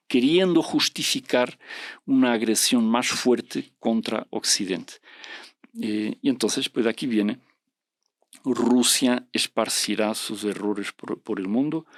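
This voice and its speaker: A man's voice: fairly low pitch, no room sound, studio quality. Earnest hesitant man, Portuguese native. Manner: hesitant, full of fillers and restarts; reflective, earnest. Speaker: podcast guest discussing religious prophecy. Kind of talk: casual conversational explanation.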